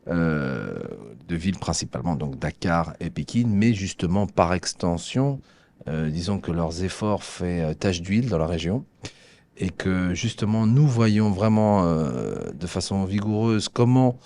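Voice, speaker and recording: A man giving formal public remarks as an agency representative, speaking haltingly with frequent hesitations and repeated words. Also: low voice, animated, mellow, a little hiss or hum.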